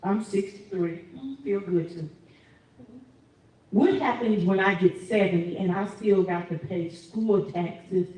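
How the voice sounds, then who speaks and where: A woman's voice: mid-range voice, takes it slowly, warm tone. A woman, asking questions in a chatty, informal way as interviewer. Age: 60s. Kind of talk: casual community interview. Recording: echoey room from a distance.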